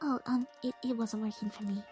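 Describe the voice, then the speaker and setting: A woman voicing a timid, gentle young pony with a soft, halting way of talking in an audio drama. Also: on the high side, steady tone, soft background music, natural voice. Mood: shy, hesitant.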